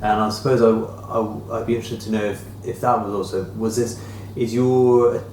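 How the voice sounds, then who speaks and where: Heard at some distance in an echoey room with faint noise, a man with a low voice discusses a book. He speaks informally and thoughtfully as a panelist, circling tentatively towards his questions. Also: little pitch movement, moderate pace, darkish tone.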